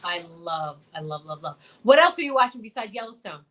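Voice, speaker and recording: A woman's voice: mid-range voice, big pitch swings, a little fast, fairly bright tone, faint music behind. A woman co-hosting a casual talk show, chatting in a gushing, emphatic way and often repeating herself. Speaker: co-host of a comedy talk show. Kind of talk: casual chat.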